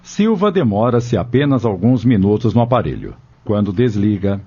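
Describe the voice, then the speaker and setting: Dark, husky Brazilian male narrator. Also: on the low side, sing-song, normal speed. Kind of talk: scripted radio drama storytelling. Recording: dull lo-fi audio.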